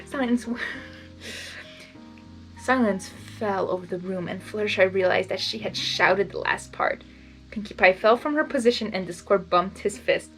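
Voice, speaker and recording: A woman giving a lively, expressive first-time reading of a fan story. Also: mid-range voice, normal speed, soft background music, low-quality recording. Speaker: storybook reader.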